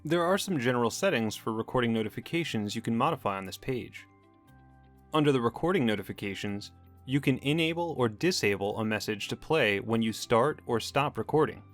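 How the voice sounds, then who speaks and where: Male narrator, mid-pitched, for software tutorial; measured, matter-of-fact scripted read over a music bed. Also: expressive. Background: background music.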